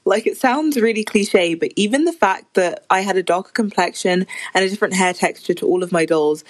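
Teen woman podcast guest, open and reflective, mid-pitched. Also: brisk. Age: teens. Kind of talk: casual personal account.

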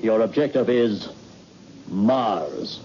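An actor playing a stern military general, measured and authoritative, in a 1960s radio drama on an old, noisy recording. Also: male voice, on the low side, expressive, over loud music, exaggerated voice. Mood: grave, official. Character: stern, commanding male American military general. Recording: dull old recording with clear noise.

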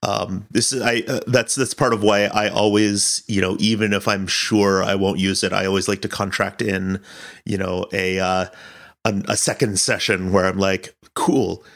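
A man who directs audio fiction, talking casually in an interview in a thoughtful, halting way as he searches for words. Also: on the low side, flat delivery, fairly bright tone.

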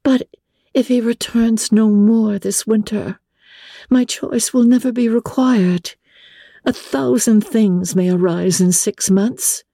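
A woman voicing a brisk, witty young sister from a classic novel, assured and wry, reasoning in formal period speech. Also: a little high, fairly even intonation, vocal fry, dark tone, no room sound, studio quality, pitched above the performer's own voice, full character voice. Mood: dry, teasing. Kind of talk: dramatic audiobook reading.

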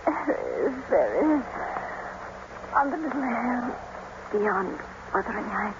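A woman's voice: fairly high pitch, big pitch swings, slow pace, full character voice. An actress playing a dying young lady, wistful and passionate, pleading in theatrical 1950s radio drama style. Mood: feverish, insistent. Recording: noisy, muffled old radio broadcast.

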